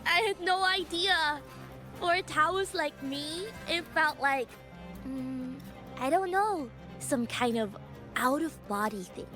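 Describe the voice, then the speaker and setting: Very high, breezy young Taoist wanderer. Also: female voice, takes it slowly. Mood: breezy, friendly. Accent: non-standard accent. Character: cheerful, carefree young female Taoist wanderer. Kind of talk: video game voice acting. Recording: game audio over loud music.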